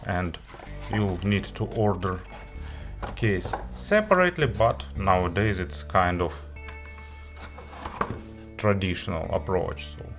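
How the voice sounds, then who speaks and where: A man presenting a product review as host, talking through it in a halting, hesitant way with frequent pauses. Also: deep voice, lively intonation, music in the background.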